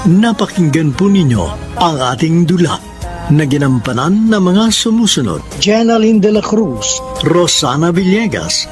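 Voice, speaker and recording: A man's voice: high voice, sing-song, a little slow. A man with a Filipino accent reading scripted credits as a radio drama announcer. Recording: music bed behind the voice.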